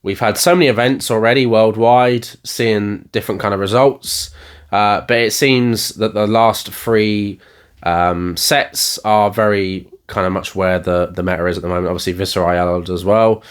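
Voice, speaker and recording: A man hosting a card game channel, talking casually with plenty of ums, his voice a bit croaky from recent illness. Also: lowish voice. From England. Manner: rambling, lots of ums and uhs. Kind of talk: casual solo video update.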